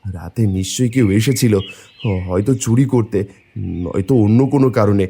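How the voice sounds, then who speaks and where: A man voicing a shrewd, watchful village farmer in a cartoon, expressive and folksy. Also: lowish voice, brisk, breathy edge. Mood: suspicious. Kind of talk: cartoon voice acting.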